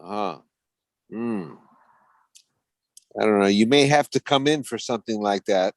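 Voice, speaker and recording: A man's voice: fairly low pitch, measured pace, no echo. Dry, teasing man with a close voice. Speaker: call participant. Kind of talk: casual chat. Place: video call.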